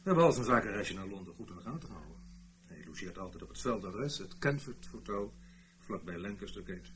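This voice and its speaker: A man acting in a radio play as a matter-of-fact intelligence agent, briefing in an emphatic, deliberate manner. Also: on the low side, sing-song, fairly bright tone, a little hiss or hum. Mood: businesslike, suspenseful.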